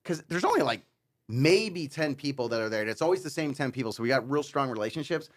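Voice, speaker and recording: A man's voice: medium pitch, expressive, quick pace. Informal male podcast co-host. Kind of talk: casual panel discussion.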